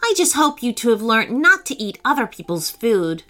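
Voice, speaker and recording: A woman voicing a sensible, gently scolding female animal character in a children's story. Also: fairly high pitch, big pitch swings, moderate pace, faint music behind. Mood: relieved, chiding.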